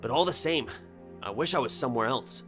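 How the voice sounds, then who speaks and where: A man voicing an anxious, excitable male engineer in a story, speaking in an agitated, emphatic way. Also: medium pitch, fairly quick, soft background music, close to an everyday voice. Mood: worried. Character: anxious, excitable younger male engineer breaking urgent news. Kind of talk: short story character dialogue.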